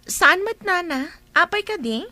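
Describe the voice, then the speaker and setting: A woman's voice: very high voice, lively intonation, measured pace, pushed and bright, no echo, full character voice. A woman playing a pointed, questioning young woman in a radio drama.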